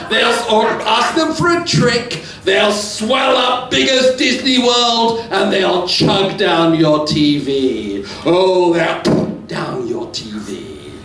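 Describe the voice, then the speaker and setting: A man performs spooky verse theatrically at a live reading. His voice sits very high and he takes his time, moving between suspense and chanting. The sound is distant, in an echoing room. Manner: dramatic, swinging from hushed suspense to loud chanting. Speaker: poet reading aloud at a live event. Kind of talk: theatrical spooky poetry recital. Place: live poetry event indoors, heard from a distance. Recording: roomy live venue, distant mic, faint noise.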